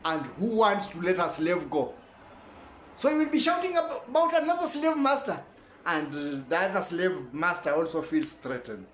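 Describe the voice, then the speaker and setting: A man's voice: high voice, big pitch swings, moderate pace, a bit bright, reverberant, slight background noise. A man giving a rambling, self-correcting informal lecture.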